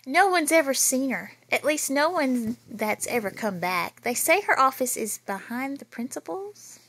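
A woman in her thirties reading a children's picture book aloud in a small bedroom, with lively storytelling and playful asides, recorded on a phone camera nearby. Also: sits high, measured pace, husky. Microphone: phone camera held nearby.

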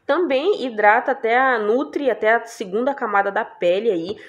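A woman's voice: a little high, normal speed, muffled recording. A young woman chatting casually about products she bought, asking her viewers for agreement every few lines. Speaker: beauty vlogger. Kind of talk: casual product haul chat.

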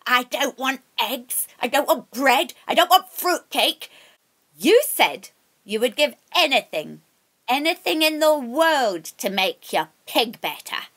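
A woman storyteller voicing a wicked little old witch who demands things in a loud, grasping, gloating way. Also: high voice, big pitch swings, slow, voice pushed up, exaggerated voice. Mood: demanding.

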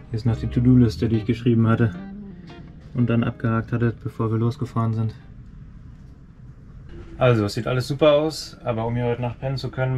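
A man in his thirties talking casually to an action camera he holds at arm's length, showing his sailboat after months away. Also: mid-range voice. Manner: relaxed, chatty, thinking aloud. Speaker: sailing vlogger. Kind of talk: casual vlog narration. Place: inside a sailboat cabin, later outdoors at a boatyard. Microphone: action camera held at arm's length. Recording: background music throughout.

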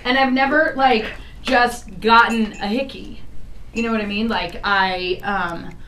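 A woman's voice: on the high side, steady tone, a little slow, pushed and bright. Woman in her thirties, radio host, casual on-air chat, echoey room, faint noise. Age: 30s. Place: small radio studio, indoors. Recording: echoey radio studio, distant mic, noisy.

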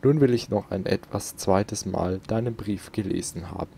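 A man's voice: fairly low pitch, moderate pace, vocal fry, dark tone, slight background noise. A man doing casual let's play commentary and reading in-game notes aloud, close to the microphone.

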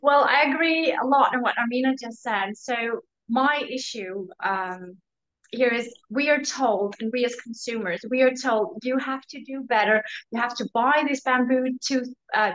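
A woman's voice: fairly high pitch, fairly bright tone. A young woman panelist on a video call from a room at home, speaking earnestly and emphatically. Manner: earnest, emphatic, quick asides. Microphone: video call laptop mic. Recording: dull lo-fi call audio.

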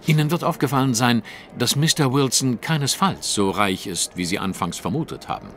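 A haughty, swooping detective. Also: male voice, medium pitch, animated, fairly quick, fairly bright tone, faint music behind. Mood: lecturing. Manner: precise, formal, lecturing. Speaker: voice actor in an audio drama. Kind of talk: German audio drama acting.

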